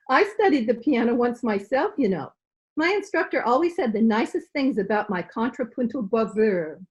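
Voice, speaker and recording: Radio comedy grande dame, played by a woman: high, swooping, pompous and affected. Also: lively intonation, moderate pace, natural voice, low-quality recording. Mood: pompous, amused. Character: gushing, pretentious high-society lady. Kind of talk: old-time radio comedy acting. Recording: home video-call recording.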